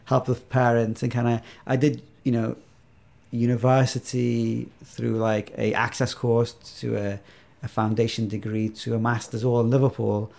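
Podcast guest, man in his thirties from Iraq, warm gravelly low voice, thoughtful and hesitant. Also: little pitch movement, normal speed. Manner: reflective, many fillers and restarts. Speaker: podcast guest, video game maker. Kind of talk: casual interview chat.

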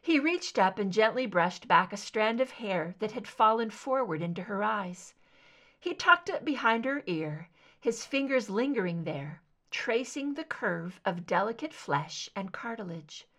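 A woman's voice: mid-range voice, lively intonation, unhurried, fairly bright tone. A woman reading a scripted story aloud as an amateur audiobook narrator.

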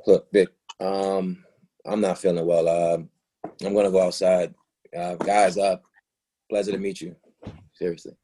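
A man acting a hurt, frustrated young boyfriend in a naturalistic play reading, halting and repeating himself. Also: sits low, little pitch movement, warm tone, a little hiss or hum, rough recording. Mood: uneasy, withdrawn. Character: hurt, frustrated young boyfriend confronting his girlfriend about racism. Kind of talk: readers theatre play reading, naturalistic dialogue.

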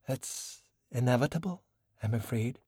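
A male reader plays a long-lived sorceress in an audiobook, worldly and composed. She speaks formally and with cool, stern measure, at a middling pitch for a man, in a very dry, close recording. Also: expressive, a little slow, darkish tone, slightly rough, no echo. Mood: grave, cool.

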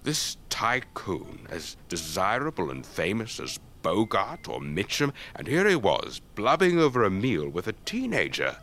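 A very deep, elderly English voice, that of a retired officer and urbane raconteur. He speaks slowly, with old-fashioned gentlemanly poise, as in a radio drama. There is some noise behind him. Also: wildly swooping pitch, exaggerated voice. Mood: wry, storytelling. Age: older.

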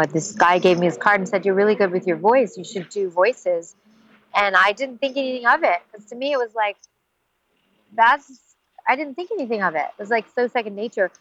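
A woman's voice: on the low side, dry recording, muffled recording. A middle-aged woman voice actress, chatting animatedly in an interview and slipping into little character voices. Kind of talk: casual interview talk.

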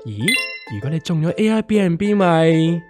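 A man's voice: a little high, very animated, normal speed, over music. A man playing a wry, sceptical big-guy pal in a comic radio drama, exasperated and exaggerated, with a Hong Kong Cantonese accent. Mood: exasperated, teasing.

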